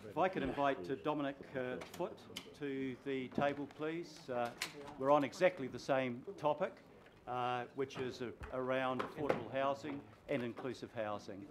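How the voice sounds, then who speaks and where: A man chairing a formal council meeting in a halting, procedural way. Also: medium pitch, noisy background. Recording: public meeting room with crowd murmur.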